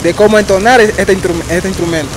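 A young man, a street marimba player, giving relaxed, hesitant answers outdoors in a park. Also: high-pitched, quick pace, noisy background. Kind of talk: casual interview answers.

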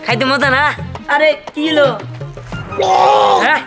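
A man's voice: extremely high pitch, steady tone, unhurried. An actor playing a greedy, silly village boy in a comic skit, whining and pleading in an exaggerated way. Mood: greedy, musing. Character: greedy, silly village boy who loves eating iron. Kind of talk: low-budget comic skit acting. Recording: loud music behind the voice.

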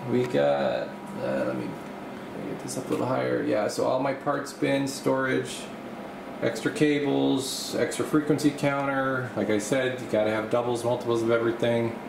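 A man's voice: medium pitch, moderate pace, gravelly. A man giving a casual, off-the-cuff workshop tour as a video host, rambling and thinking aloud, speaking from behind the camera. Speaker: video host, radio repair technician. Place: small indoor home workshop. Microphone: camera mic, speaker behind camera. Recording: roomy, steady air-conditioner-like hum.

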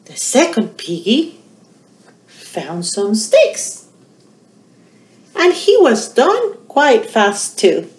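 Animated woman storytime presenter, lively pitch. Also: extremely slow, pauses often. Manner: animated, addressing young children with questions. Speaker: children's library storytime presenter. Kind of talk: storytime narration for young children. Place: small indoor room. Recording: roomy home recording.

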